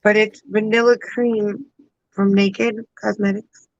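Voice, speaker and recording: A woman's voice: mid-range voice, level tone, takes it slowly, a little mellow, no room sound. Easygoing woman co-hosting, slightly dull sound. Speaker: livestream co-host. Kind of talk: casual livestream chat.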